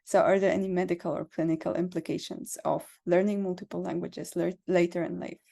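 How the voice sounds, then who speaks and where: A woman's voice: on the low side, steady tone, a little fast, creaky, dark tone. A woman interviewing a guest, asking polite questions with some hesitant ums.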